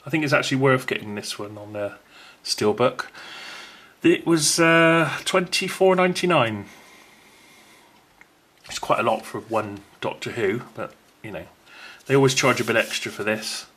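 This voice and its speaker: A man's voice: mid-range voice, animated, normal speed, a bit bright. A man presenting an unboxing video in a casual, unscripted way, chatty and rambling as he thinks aloud.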